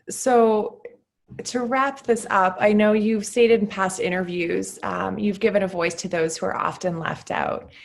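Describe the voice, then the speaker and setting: A woman's voice: on the low side, creaky. A woman interviewer reading out formal, prepared questions.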